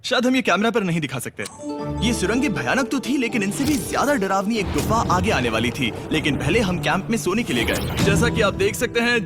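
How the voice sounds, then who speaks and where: A man voicing an excitable YouTuber who hosts and narrates a dubbed underground challenge vlog with theatrical announcements. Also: high voice. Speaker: host of a challenge video. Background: loud music.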